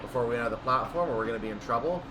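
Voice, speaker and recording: A man's voice: mid-range voice, very fast. A man giving play-along commentary on a train simulator, slipping into station-announcer style calls. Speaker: gameplay commentator. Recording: steady noise behind the voice.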